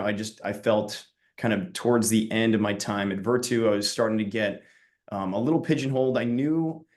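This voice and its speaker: A young man from Long Island, New York, introducing himself as a student panelist on a video call, relaxed with many fillers. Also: lowish voice. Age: young adult.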